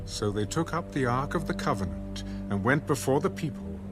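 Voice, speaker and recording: Animated male Bible narrator over music. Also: mid-range voice, moderate pace. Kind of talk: dramatic scripted narration.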